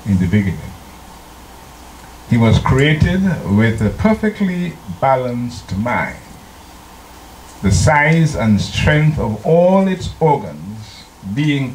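An older man from Trinidad, a pastor preaching a slow, deliberate sermon into a handheld microphone in a church, now and then singing hymn lines. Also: medium pitch, a little mellow, a little hiss or hum. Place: church sanctuary. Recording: echoey church room.

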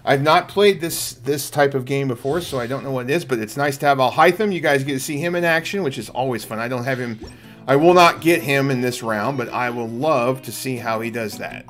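A middle-aged man giving casual gameplay commentary, thinking aloud in a gravelly voice. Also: medium pitch, brisk, a bit bright. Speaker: game streamer. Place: small indoor room at home. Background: faint game music.

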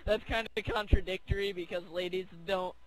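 A man's voice: high voice, flat delivery, fairly quick, poor audio. A man chatting casually while playing a video game, rambling and joking. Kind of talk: casual banter during co-op gameplay.

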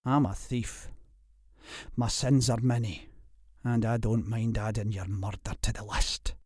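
A man's voice: lowish voice, fairly even intonation, a little slow, darkish tone, no echo, clean studio sound. A man voicing a bold, protective thief with a controlled, menacing delivery in an audiobook. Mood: quiet menace. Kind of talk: audiobook character acting.

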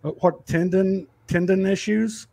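Combative 50s farmer guy on a headset video call, kinda high voice, keeps cutting in. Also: steady tone, a little slow, gravelly, a loud crowd. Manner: combative, talks over the other speaker. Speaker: farmer debating. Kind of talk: heated online debate.

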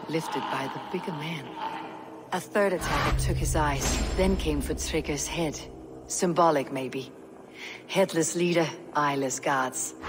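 Low-voiced, stern Viking warrior. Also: female voice. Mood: grim, observant. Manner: measured, grim, matter-of-fact. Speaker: video game lead character. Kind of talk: dramatic game cutscene acting. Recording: reverberant stone hall, music underneath.

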